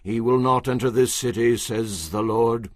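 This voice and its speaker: An actor playing a stern prophet who declares God's word in a solemn, emphatic, declamatory way for a dramatized audio Bible. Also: male voice, medium pitch, fairly even intonation, a little slow, dry recording, studio quality, natural voice. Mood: solemn, proclaiming.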